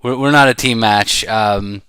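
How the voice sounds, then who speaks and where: A middle-aged man giving casual live commentary as he plays a card game, rambling and halting as he thinks aloud. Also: lowish voice, pushed and bright, smooth, no echo. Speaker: bridge player commentating while playing.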